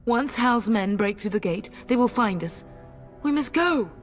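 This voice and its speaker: A woman's voice: a little high, lively intonation, measured pace, faint music behind. An actress playing a middle-aged noble mother in a video game, fierce, devoted and urgent, with emotional delivery. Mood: alarmed, urgent. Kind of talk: dramatic video game acting.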